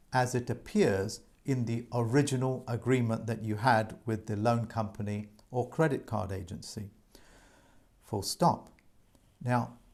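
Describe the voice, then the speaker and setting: Lively-voiced man giving earnest advice. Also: mid-range voice. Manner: earnest, repetitive, addresses viewers as folks. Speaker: presenter giving debt advice. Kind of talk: informal advice monologue to viewers.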